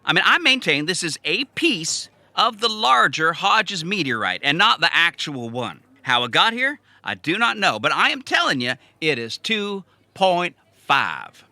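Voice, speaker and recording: Male actor, earnest, insistent diner cook for audio comedy; very sharp, bright tone, wildly swooping pitch. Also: big pitch swings, a little slow, few pauses, no room sound, heavily acted. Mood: stubborn, indignant. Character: stubborn, earnest, joke-loving man who cooks at a country diner. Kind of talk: comedic audio drama dialogue.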